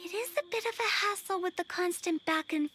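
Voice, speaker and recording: A woman's voice: very high voice, brisk. A woman playing a perky, cheeky young funeral parlor director in an anime game, with theatrical sales patter. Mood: proud, persuasive. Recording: game audio with music behind.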